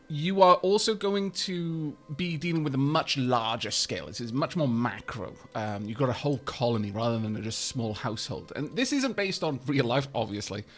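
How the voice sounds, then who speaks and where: A man chatting on a live gameplay stream in a wry, rambling way, thanking viewers by name. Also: medium pitch.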